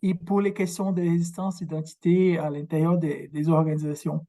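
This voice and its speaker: A man from Brazil with a Québécois French accent and Portuguese as his first language, giving a workshop lecture over a video call, halting and searching for words. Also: on the high side, level tone, dark tone. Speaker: guest lecturer, researcher. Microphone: video call microphone.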